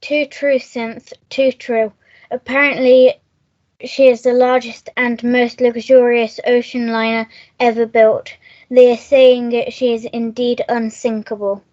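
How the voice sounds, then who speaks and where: Prim, slow-talking young lady played by a woman. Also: on the high side, little pitch movement, darkish tone, dull audio, lightly acted. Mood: earnest, impressed. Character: prim, earnest young society schoolfriend. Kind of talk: melodramatic radio play acting.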